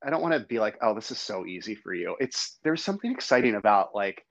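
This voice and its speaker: Podcast guest: low-voiced, gushing man on a dry, dull recording. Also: very animated, fast talker, no room sound. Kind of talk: casual podcast chat.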